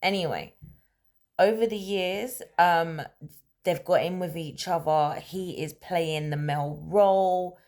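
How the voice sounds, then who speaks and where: A woman telling a story in a casual, conversational way, animated and emphatic, often checking in with rhetorical questions. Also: lowish voice, takes it slowly. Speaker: storyteller, host of a story-time series.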